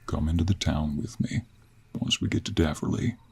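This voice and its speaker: A man playing a gruff, gentlemanly cowboy monster hunter in an intimate audio roleplay, with an American Western accent, a gravelly, husky voice and a slow, courteous drawl. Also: extremely low pitch, full character voice. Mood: earnest, gentle. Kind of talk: intimate one-sided audio roleplay acting.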